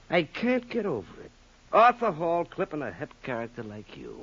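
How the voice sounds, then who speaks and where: Male cocky cop, husky, very swoopy highish delivery, mocking, 1940s radio on a dull recording. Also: huge pitch swings, normal speed, a bit bright, full character voice. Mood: mocking, amused. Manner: breezy, needling, swooping emphasis. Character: cocky, mocking Chicago police lieutenant, amused. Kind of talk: 1940s radio drama acting.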